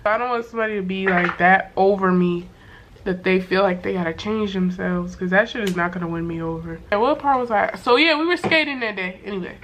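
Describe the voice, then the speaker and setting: A young woman with a voice worn hoarse from sickness, telling a story to camera in a loose, slangy way full of fillers and swearing. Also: mid-range voice, fairly quick, background noise. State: hoarse from being sick. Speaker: vlogger. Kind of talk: casual storytime chat to camera.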